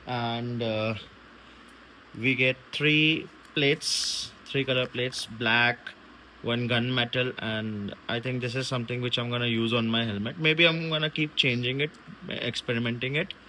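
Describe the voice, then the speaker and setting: Slow-talking man, bright voice, casual unboxing. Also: medium pitch, fairly even intonation, a little hiss or hum, rough recording. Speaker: vlogger unboxing a product.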